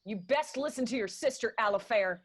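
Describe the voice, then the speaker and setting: Actress as brazen young mountain mistress, radio drama; Appalachian accent, slightly high voice, needling and haughty. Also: female voice, animated, brisk, slightly rough. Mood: taunting.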